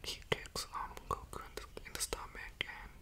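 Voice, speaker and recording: A young man whispering casual ASMR into a close condenser mic in a bedroom, rambling informally and correcting himself as he goes. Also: moderate pace. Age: young adult. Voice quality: unvoiced breathy whisper. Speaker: ASMR video creator. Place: bedroom at home. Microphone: large USB condenser mic, close up.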